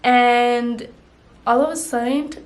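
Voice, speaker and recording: A woman's voice: on the high side, steady tone, slow pace. A young woman vlogger chatting casually while doing her makeup, rambling with lots of "like" and "you know". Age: young adult. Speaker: beauty vlogger.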